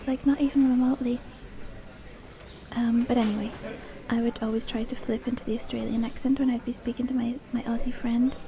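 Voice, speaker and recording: A woman from Dublin, chatting in a rambling, self-deprecating ASMR style, often dropping into a whisper. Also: fairly high pitch. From Dublin, Ireland. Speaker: ASMR video creator. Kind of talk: ASMR, rambly casual chat.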